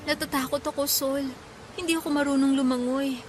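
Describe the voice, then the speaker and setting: A high-voiced young woman, frightened yet devoted, played by an actress in Filipino radio drama style. She speaks earnestly and emotionally, over faint background ambience. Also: steady tone, a little fast, a little hiss or hum, close to an everyday voice. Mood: fearful, pleading. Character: frightened, devoted young woman confessing love amid a storm.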